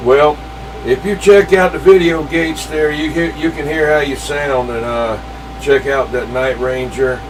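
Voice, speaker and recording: A man chatting informally and a little haltingly as an operator over a CB radio transmission. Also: fairly high pitch, steady tone. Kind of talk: casual chat over CB radio. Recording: noisy CB radio, distant-sounding transmission.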